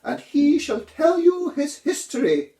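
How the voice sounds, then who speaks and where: A man voicing a bad-tempered, imperious Queen who shouts commands in a storybook reading. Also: very high-pitched, sing-song, measured pace, reverberant, voice pushed up, heavily acted, low-quality recording. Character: shrieking, bossy, bad-tempered Queen, adult woman. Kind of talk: storybook character voice.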